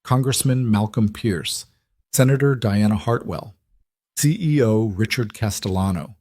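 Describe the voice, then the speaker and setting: A man narrates a scripted romance audiobook in a low voice. The recording is dry and close, with very little room sound. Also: unhurried, dark tone, no echo.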